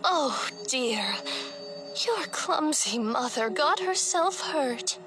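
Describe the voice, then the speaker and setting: A woman acting a doting, calmly instructive mother in an animated story, using endearments and explaining step by step. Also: sits high, slow pace. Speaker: voice actor in a fan-made animated story. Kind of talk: acted motherly storytelling dialogue. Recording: loud music behind the voice. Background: loud music.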